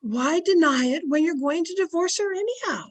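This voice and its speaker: A woman's voice: very high-pitched, animated, moderate pace. A woman playing a meddling little old aunt who scolds sweetly in an old-time radio comedy. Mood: mock reproach. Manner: scolding, mock-innocent.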